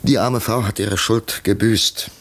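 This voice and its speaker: A man playing a troubled, grieving gentleman in a 1950s German radio play, halting, emotional and dramatic in his delivery. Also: fairly low pitch, steady tone. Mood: anguished. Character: troubled, grieving wealthy gentleman, agitated and haunted.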